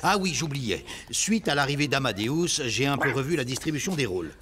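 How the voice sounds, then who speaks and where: Swooping, bossy stage director. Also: male voice, medium pitch, very animated, fairly quick, a bit bright, soft background music. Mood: bossy, officious. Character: bossy, earnest amateur theatre director. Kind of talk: French animated series acting.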